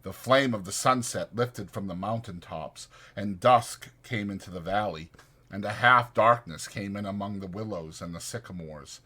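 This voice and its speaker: A man's voice: lowish voice, moderate pace, pushed and bright. A man reading literary fiction as a dramatized audiobook narrator.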